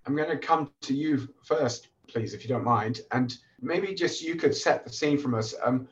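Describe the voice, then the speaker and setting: A man, hoarse from a recent illness, hosting a podcast interview in a relaxed way with many fillers and restarts. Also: medium pitch, normal speed, lo-fi sound. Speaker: podcast host and oncologist.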